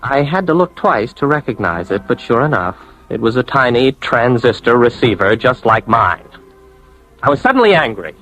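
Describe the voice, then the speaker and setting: Earnest disillusioned young man, American accent, very animated pitch, old-time radio storyteller. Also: normal speed, faint music behind, low-quality recording. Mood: brooding, dramatic. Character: earnest, disillusioned young advertising man narrating his romances. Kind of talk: old-time radio drama acting, first-person narration.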